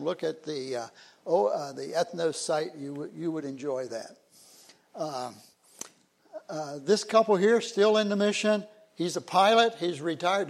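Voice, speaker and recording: A man's voice: high-pitched, vocal fry. An older man giving a folksy, conversational church talk about missionaries, with frequent fillers. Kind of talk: informal church talk introducing missionaries on slides.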